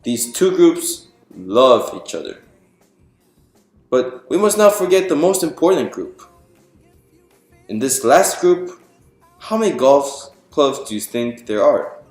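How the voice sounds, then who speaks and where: A man's voice: mid-range voice, a little mellow. Man reading a picture book in echoey room. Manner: explanatory, poses questions to listeners and answers them. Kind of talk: scripted storybook narration to an audience. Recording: roomy echo with music behind.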